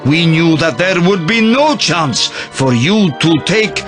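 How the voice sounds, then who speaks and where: A solemn priest in a video game cutscene, with a notably high voice. He speaks slowly and gravely, like one revealing weighty secrets, over music. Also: lively intonation. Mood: solemn, earnest. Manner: measured, grave storytelling. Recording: music behind the voice.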